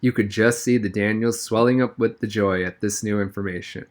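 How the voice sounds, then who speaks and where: A man's voice: on the low side, steady tone, a little fast. A man narrating a children's novel aloud in the first person. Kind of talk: storybook read-aloud narration.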